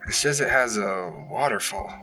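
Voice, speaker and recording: A man acting as a playful boyfriend out hiking, with a bright, forward voice and casual banter. He is affectionate and spooks easily, audio-drama style, with faint music behind him. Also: on the low side, normal speed, low-quality recording.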